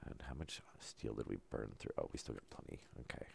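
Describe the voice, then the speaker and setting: A man calmly talking through a survival game as he plays, low-key and unhurried, with no put-on enthusiasm. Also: creaky, a little hiss or hum. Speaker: gameplay commentator. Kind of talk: casual let's-play commentary.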